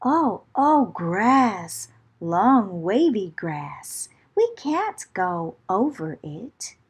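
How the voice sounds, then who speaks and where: A woman's voice: mid-range voice, sing-song, drawn-out words, slightly rough. A woman reading a picture book aloud to children in a dramatic, theatrical way, with rhythmic chanting phrases. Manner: dramatic, theatrical, with whispers and exclamations. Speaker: children's storyteller.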